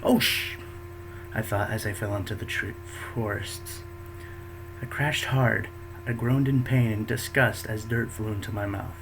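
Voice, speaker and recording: A man reading his own story aloud in a plain way, his voice jumping higher for the quoted thoughts. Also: steady tone, moderate pace, creaky, background noise. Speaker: fanfiction reader.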